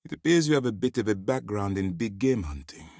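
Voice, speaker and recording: A low, commanding voice as a principled space-fleet officer in a video game. His formal, measured authority moves through broad, animated swings of pitch. The sound is dry and close, and he comes across as stern and firmly in charge. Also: no echo. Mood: composed, formal. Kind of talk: dramatic game character dialogue.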